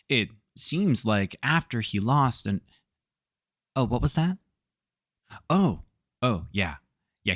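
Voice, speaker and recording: A lower-voiced man narrates online stories to his listeners, his pitch rising and falling freely as he tells them. He drops in dry, sarcastic asides between the story lines. The recording is close and dead, with no room sound. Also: vocal fry, a bit bright, no echo. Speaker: storyteller host. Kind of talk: reading Reddit stories aloud with commentary.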